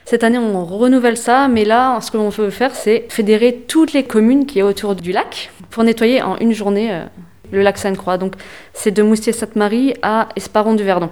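This is a young woman answering interview questions for a local environmental group. She hesitates often and fills gaps with "euh", and she slows right down to spell names letter by letter. Her pitch sits in an ordinary middle range. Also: fairly even intonation, darkish tone. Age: young adult. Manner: hesitant, many fillers, spells words out slowly. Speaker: environmental association volunteer.

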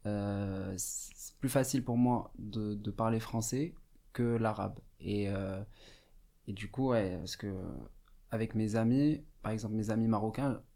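Hesitant Moroccan-born man, lowish voice. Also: fairly even intonation, a little slow, dark tone. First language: Arabic. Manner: hesitant, thoughtful, many fillers and restarts. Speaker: radio guest. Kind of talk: casual radio interview. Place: radio studio.

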